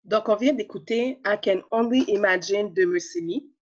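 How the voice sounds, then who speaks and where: Radio host, reflective questions, mid pitch, slightly muffled lo-fi sound. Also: moderate pace, over music. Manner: warm-up questions, reflective follow-ups. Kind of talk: hosting a radio interview.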